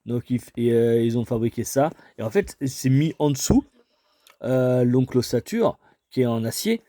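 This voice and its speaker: A man speaks in a dark, mellow voice with a dry, close sound. He chats casually as if on a call, rambling through explanations and hesitating as he goes. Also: medium pitch, lively intonation, moderate pace, dry recording. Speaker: homeowner taking a cold call. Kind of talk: casual improvised phone conversation.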